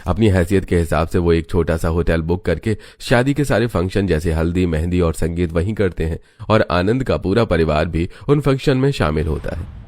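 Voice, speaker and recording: A man's voice: low-pitched, normal speed, darkish tone, no echo. A man reading scripted moral-story narration as a storyteller.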